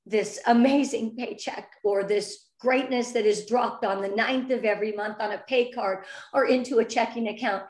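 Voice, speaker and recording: A woman in her fifties talks with effusive, earnest warmth and a restless nervous energy, her voice sitting a little high. She is heard over a video call, and the room around her gives it a noticeable echo. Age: 50s. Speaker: interview guest. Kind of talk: emotional heartfelt interview.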